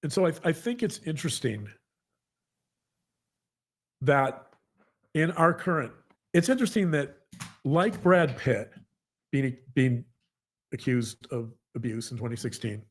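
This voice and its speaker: A man talking earnestly to a co-host in a halting, repetitive, emphatic conversational monologue. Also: mid-range voice, animated, slightly rough. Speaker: podcast co-host, psychologist.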